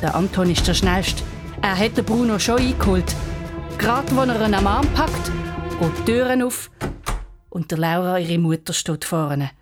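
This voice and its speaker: A woman's voice: mid-range voice, lively intonation, moderate pace. A woman with a Swiss accent narrating a scripted children's story. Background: music.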